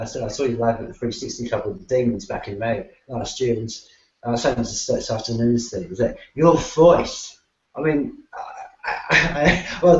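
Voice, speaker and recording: A male interviewer, asking questions casually with many hesitant false starts. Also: mid-range voice. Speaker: radio show host interviewer. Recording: roomy, dull lo-fi sound.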